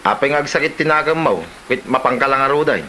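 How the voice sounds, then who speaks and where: A man's voice: mid-range voice, quick pace, pushed and bright, slight background noise, lightly acted. A man with an Ilocano Filipino accent acting a nosy, teasing village husband in a comedy drama. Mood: puzzled.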